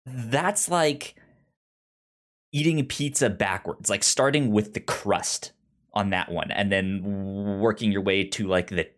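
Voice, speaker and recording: A man's voice: on the low side, takes it slowly. A man co-hosting a casual chat show, with a stutter that repeats syllables and sounds as he talks. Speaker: podcast co-host.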